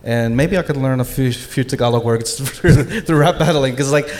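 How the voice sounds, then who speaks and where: Laughing, chatty podcast co-host. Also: male voice, medium pitch, normal speed. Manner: laughing, animated storytelling with filler words. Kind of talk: casual live panel chat. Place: live conference stage.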